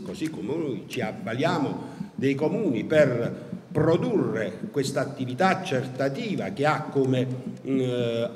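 A middle-aged man speaks at a desk microphone in a large hall, and his voice carries a long, roomy echo. His delivery is formal and measured, with frequent hesitant fillers. The pitch sits in an ordinary middle range, and faint ambience hangs behind him. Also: a little mellow, slight background noise. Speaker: tax agency official speaking at a conference. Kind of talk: formal conference talk. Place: large ornate hall with wooden paneling. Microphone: gooseneck desk microphone.

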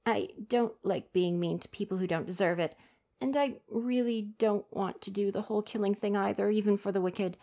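A woman's voice: medium pitch, fairly even intonation, moderate pace, creaky, mellow, close to an everyday voice. A woman voicing a young male would-be ninja in an ASMR roleplay, defensive and flustered but earnest underneath.

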